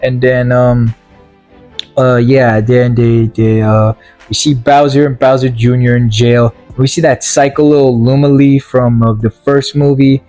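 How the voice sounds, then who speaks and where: A young man gives a blunt, rambling review, stumbling over fillers and restarts. His voice is mellow and sounds tired and worn. He is recorded close and dry, with faint music underneath. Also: medium pitch, level tone, unhurried, no room sound. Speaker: video game movie reviewer. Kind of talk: casual spoken review.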